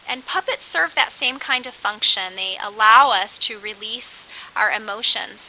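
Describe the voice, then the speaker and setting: A woman teaching an early childhood course in a conversational, animated way, as an instructor explaining with examples. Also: on the high side, moderate pace. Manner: animated, with acted-out example phrases. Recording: dull lo-fi audio with faint hiss.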